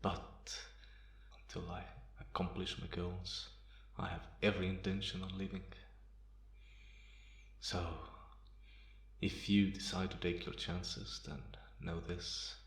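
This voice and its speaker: A man playing a calm, menacing male vampire in an ASMR roleplay, speaking in a deliberate, taunting way in a roomy, echoing space. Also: low-pitched, creaky, exaggerated voice. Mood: quietly menacing. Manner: deliberate, softly chuckling, taunting. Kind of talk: ASMR roleplay, slow intimate monologue.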